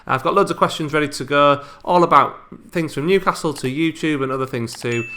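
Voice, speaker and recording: Bright-voiced chatty man. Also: fairly high pitch, fairly quick. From England. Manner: chatty, informal, frequent ums and restarts. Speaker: YouTuber. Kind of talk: casual subscriber Q&A.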